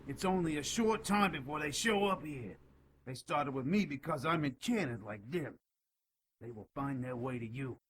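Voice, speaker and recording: An actor playing a whiny, cocky demon informant in an audio drama, indignant, sarcastic and a bit sleazy. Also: male voice, fairly high pitch, lively intonation, normal speed, fairly bright tone, slight background noise. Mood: agitated.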